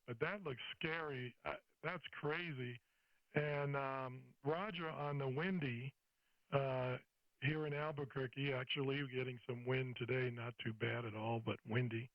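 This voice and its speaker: An American man from Massachusetts chatting casually and enthusiastically as an amateur radio operator. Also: mid-range voice. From Massachusetts, United States. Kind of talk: casual ham radio chat. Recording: roomy, slightly muffled radio-shack audio.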